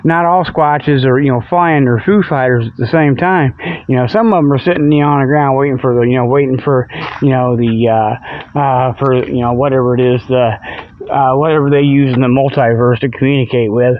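A man's voice: medium pitch, steady tone, brisk, dry recording, phone-quality sound. A man hosting a radio show in a rambling, folksy, repetitive comic monologue, recorded outdoors on a tablet. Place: outdoors on a walk before dawn. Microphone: budget tablet held in hand.

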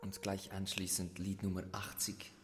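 An actor in a radio play playing a solemn priest who makes ceremonial announcements in a mock liturgy. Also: male voice, deep voice, monotone, normal speed, loud music behind the voice, natural voice. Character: solemn male priest leading an absurd liturgy.

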